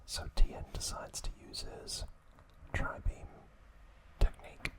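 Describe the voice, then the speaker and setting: Man whispering slowly, ASMR style. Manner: hushed, unhurried, deliberate pauses. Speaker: ASMR host. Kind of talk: whispered ASMR, recapping episodes.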